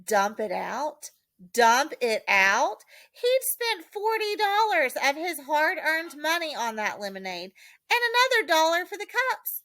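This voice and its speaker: A woman reading a children's storybook expressively, with dramatic, deliberate emphasis. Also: high voice, very animated, sharp tone, no room sound. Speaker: audiobook reader, teacher.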